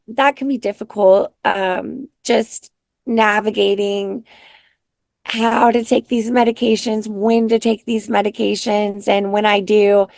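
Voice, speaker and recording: A raspy-voiced woman, a singer-songwriter guest, talking in an unhurried, soft-spoken way with many pauses. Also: mid-range voice, no room sound. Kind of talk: casual interview chat.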